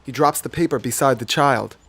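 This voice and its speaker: A man's voice: medium pitch, brisk, vocal fry, fairly bright tone, husky. A man reading a scripted children's story as its narrator. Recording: music behind the voice. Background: background music.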